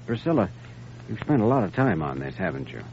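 An actor playing an earnest, compassionate young doctor with a probing manner, in vintage radio drama style. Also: male voice, sits low, sing-song, fairly quick, gravelly, full character voice. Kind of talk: 1950s radio drama acting. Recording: muffled, faintly noisy vintage radio recording.

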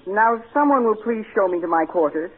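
High-pitched, pompous rich boy. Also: lively intonation, brisk, husky. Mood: haughty. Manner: pompous, formal diction. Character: snobbish, precocious rich boy, haughty and world-weary. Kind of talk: 1940s radio comedy acting. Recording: tinny, narrow-band old radio recording.

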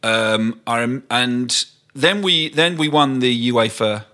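A man, a podcast guest recalling old memories in a halting, thoughtful way. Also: mid-range voice, animated, sharp tone. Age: 60s. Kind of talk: casual reminiscing chat.

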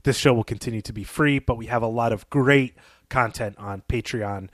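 Male co-host, medium pitch, informal podcast delivery, close dry recording with no room sound. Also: normal speed, dry recording. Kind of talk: casual podcast talk to listeners. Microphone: close podcast microphone, dry sound.

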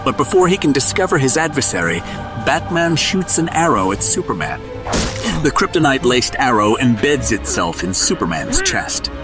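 A man's voice: medium pitch, sing-song, moderate pace. A man narrating a scripted audio drama in a dramatic storytelling style. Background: music.

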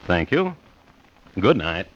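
An actor playing a deadpan, measured host who narrates dryly macabre crime tales on 1950s radio. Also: male voice, low-pitched, full character voice. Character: storytelling true-crime host, wry and deliberate. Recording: muffled old radio recording.

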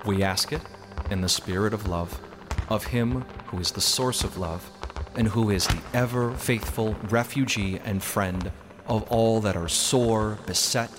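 A man voicing a solemn, fervent messenger of God in a storybook reading, preaching in measured archaic phrases. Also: on the low side, background noise, natural voice. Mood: intense prayer. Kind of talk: dramatic storybook narration.

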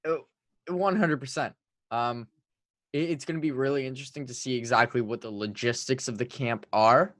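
A man who is a native French speaker co-hosts a casual sports podcast. His voice sits at a middle pitch and he talks emphatically, leaning on hyperbole. The sound is close and dry. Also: moderate pace, fairly bright tone, no echo. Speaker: hockey podcast co-host.

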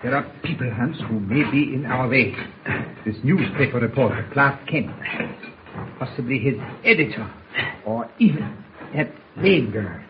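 A coldly determined spy mastermind in a vintage radio serial. He speaks with ominous, deliberate authority at a middling pitch. The recording is dull and very noisy. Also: animated. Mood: grave, menacing. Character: menacing male enemy spy doctor, coldly determined ringleader. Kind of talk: old-time radio serial acting.

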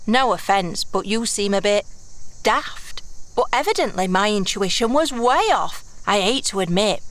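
A woman's voice: medium pitch, animated, a little slow, a bit bright. A woman playing a brash, blunt runaway heiress turned thief in a fantasy audio drama, sarcastic and colloquial with old-fashioned turns of phrase. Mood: curious, teasing.

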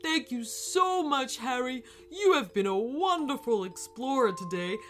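Storybook crocodile, male, weepy and effusive, female performer. High, very animated delivery. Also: a little slow, soft background music. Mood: tearful gratitude. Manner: gushing, effusive, emotional. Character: big weepy sentimental crocodile, male, fond of his friend. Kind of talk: children's storybook character voice.

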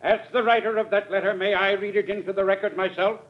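An actor playing a righteous, combative crusading witness, declaiming in a classic radio drama. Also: male voice, high voice, steady tone, quick pace, dull audio, lightly acted. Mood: righteous, insistent.

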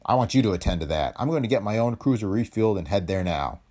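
A gruff, decisive film boss from a space adventure, acted by a male reader. He is brusque and slangy, with a growl over a soft heart, and his delivery is lively and varied. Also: lowish voice, quick pace. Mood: brisk, decisive.

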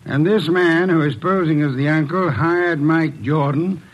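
An actor playing a shrewd, self-assured detective in 1940s radio style, speaking in a pompous, precise, deliberate way. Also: male voice, a little high, highs cut off. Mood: commanding, deductive. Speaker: lead detective. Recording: vintage radio drama recording.

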